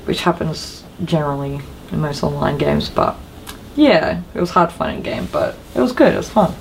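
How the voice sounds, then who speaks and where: A woman with a fairly low voice talks as a podcast co-host. She is informal and giggly, chatting casually over faint background noise. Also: lively intonation, moderate pace, vocal fry, rough recording.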